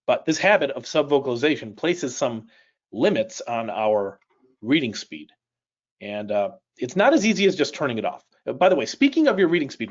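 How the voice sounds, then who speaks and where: A middle-aged man raised in Chicago, a native Polish speaker, teaches a live online class at a mid-range pitch. He talks in a relaxed, conversational way, tosses questions to his viewers and adds small jokes. The stream audio sounds a bit dull and lo-fi. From Chicago, United States. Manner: conversational, asks the audience questions, light jokes. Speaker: reading instructor leading a live online class. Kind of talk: live webinar instruction. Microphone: personal mic on a webcam live stream.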